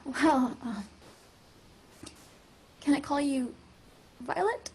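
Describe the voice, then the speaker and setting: A woman acts an earnest young girl trying to lead, in a screenplay read. Her voice is high and slow, with a hesitant, bossy-yet-pleading delivery. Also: lively intonation, a little mellow, full character voice. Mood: pleading, gentle.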